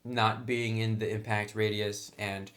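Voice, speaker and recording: A man with a slightly low voice talks through a product review in a casual way. He explains things step by step like a hobby reviewer, sounding keen on the subject. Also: level tone, a little slow, a bit bright. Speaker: tabletop game reviewer.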